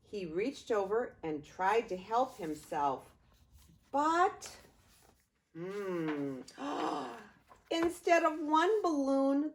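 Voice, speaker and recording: An older woman reading a picture book aloud to young grandchildren, expressively, with playful asides to the listeners. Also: on the high side. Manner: expressive, unhurried, addressing small children.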